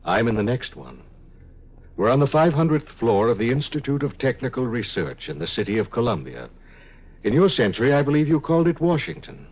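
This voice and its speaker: An actor playing a calm, detached scientist of the far future in a 1950s radio drama, speaking in a measured, clinical way. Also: male voice, mid-range voice, breathy edge. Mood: calm, probing. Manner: measured, matter-of-fact, clinical. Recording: muffled 1950s radio recording.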